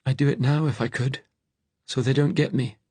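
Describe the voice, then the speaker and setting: Man acting a frightened fugitive, high and trembling. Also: steady tone, moderate pace, warm tone, slightly rough, dry recording, lightly acted. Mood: panicked, bitter. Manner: pleading, shaken.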